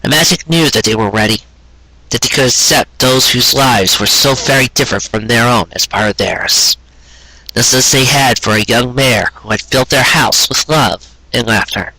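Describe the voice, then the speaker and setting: A man's voice: medium pitch, normal speed, pushed and bright, breathy edge, no echo, low-quality recording. A man narrating a scripted story in an earnest, sentimental manner. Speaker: narrator reading fan fiction.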